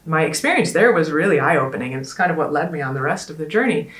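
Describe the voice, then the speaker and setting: A woman with a somewhat low voice leads a conference session. She talks in an earnest, conversational way, often pausing on ums as she gathers her thoughts. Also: expressive, fairly bright tone, echoey room. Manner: earnest, conversational, with frequent ums and trailing pauses. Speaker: panel host. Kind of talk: casual conference panel talk.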